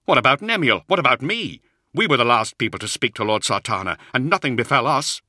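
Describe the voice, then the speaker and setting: A man's voice: mid-range voice, animated, brisk, bright, forceful tone, dry recording, studio quality, voice pushed up. A man voicing a shaken, earnest warrior, pleading urgently in protest in a dramatic audiobook. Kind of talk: dramatic audiobook character acting.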